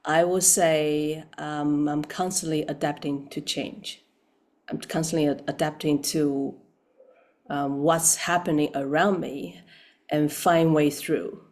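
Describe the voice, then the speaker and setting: Female guest, low voice, unhurried and hesitant, reflective answers. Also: darkish tone. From Taiwan. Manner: hesitant, searching for words, thoughtful. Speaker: guest, practitioner.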